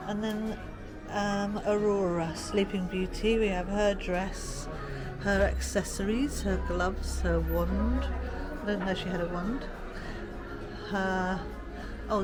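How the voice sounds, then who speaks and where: A woman's voice: medium pitch, rough recording. A British woman chatting casually as she films a theme park vlog, listing what she sees. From Britain. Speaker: vlogger. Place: outdoors in a busy theme park. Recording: loud park music and crowd behind.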